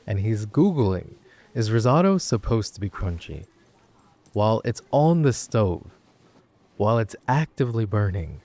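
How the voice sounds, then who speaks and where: A chatty, teasing young guy, acted by a man in an intimate best-friend roleplay. His voice is low and mellow with a gravelly creak. He rambles wryly through stories, often cutting himself off. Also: very animated. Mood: amused, animated. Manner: rambling, wry, halting with self-interruptions. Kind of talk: intimate boyfriend roleplay audio, casual storytelling.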